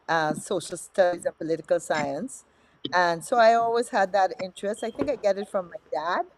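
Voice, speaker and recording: A woman's voice: deep voice, darkish tone. A woman in her fifties, a politician guest talking in a friendly, conversational way with frequent fillers. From Guyana. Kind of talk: studio interview.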